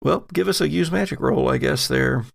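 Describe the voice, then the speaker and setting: A man's voice: medium pitch, moderate pace, gravelly. A man acting as game master, narrating a tabletop roleplaying game with dramatic storytelling and casual asides. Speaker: game master of an actual-play podcast.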